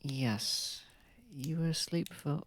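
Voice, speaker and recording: A man playing a posh, gentle, protective vampire in an ASMR roleplay, soft-spoken and often dropping into a whisper, with formal wording. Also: on the high side, takes it slowly. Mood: tender, careful. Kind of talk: ASMR roleplay audio drama.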